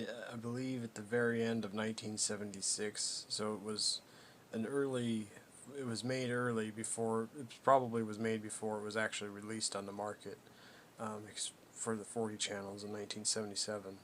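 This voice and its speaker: A man giving a casual, unscripted product walkthrough as a hobbyist, haltingly thinking aloud as he goes. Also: fairly low pitch, little pitch movement, slight background noise. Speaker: hobbyist presenter demonstrating a vintage CB radio.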